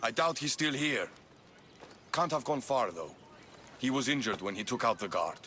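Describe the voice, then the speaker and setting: Gruff Spartan commander, mid-pitched. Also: male voice, lively intonation, normal speed, pushed and bright, a little hiss or hum. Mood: stern, grim. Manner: curt, stern. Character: gruff, distrustful male Spartan army commander. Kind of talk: video game cutscene acting.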